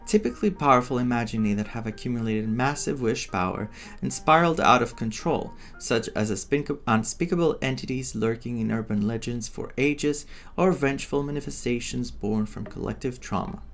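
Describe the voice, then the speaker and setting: A man's voice: mid-range voice, brisk, vocal fry, hardly any pauses. Man doing game commentary over music. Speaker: gameplay streamer reading and reacting. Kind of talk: casual playthrough commentary with reading aloud. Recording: music playing behind the voice. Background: game music with guitar.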